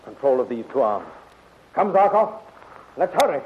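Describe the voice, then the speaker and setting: An actor playing a brave, tender young space hero with earnest, gallant melodrama in an old radio serial. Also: male voice, mid-range voice, big pitch swings, normal speed, lightly acted. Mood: devoted, resolute. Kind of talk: 1930s radio serial acting. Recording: muffled, noisy old radio recording.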